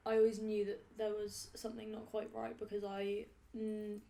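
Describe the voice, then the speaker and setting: A woman in her late teens speaks thoughtfully in a group chat, often chiming in and trailing off with fillers. Her delivery stays quite flat in pitch, at a middling level. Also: normal speed, vocal fry. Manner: thoughtful, chimes in, trails off with likes. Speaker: group member, guest. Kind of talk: casual group discussion. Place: meeting room.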